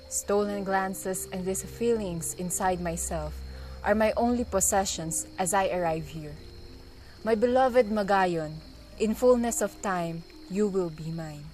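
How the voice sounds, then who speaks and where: A woman's voice: mid-range voice, a little slow, a little mellow, close to an everyday voice. A woman playing a devoted, gallant young male lover in an amateur radio drama, speaking in an earnest, declamatory way over music. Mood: lovestruck longing.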